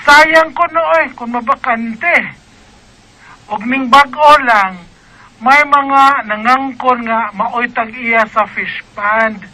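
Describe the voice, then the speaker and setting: A woman's voice: fairly high pitch, slow pace, bright, forceful tone, full character voice, rough recording. A woman with a Cebuano Filipino accent acting a fretful mother in a radio drama. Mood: earnest, animated.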